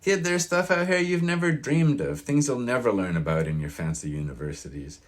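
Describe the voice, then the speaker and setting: A man's voice: medium pitch, brisk, voice pushed up, close to an everyday voice. A man voicing an opinionated elderly small-town local in an audiobook, with a grating, folksy, emphatic delivery. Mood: indignant, eerie. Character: crusty old mountain-town man, proud, opinionated, wistful. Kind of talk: audiobook character reading.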